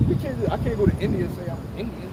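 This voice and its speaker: A man's voice: high voice, animated, racing. A man giving a heated, profane religious teaching outdoors, talking over the others. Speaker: teacher of a street study group. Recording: loud outdoor wind and traffic noise.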